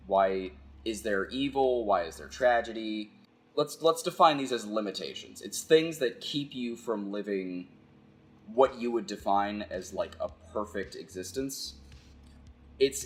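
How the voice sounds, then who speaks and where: A man giving a casual philosophical monologue as a video essayist, halting and conversational as he thinks aloud. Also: sits low, a bit bright.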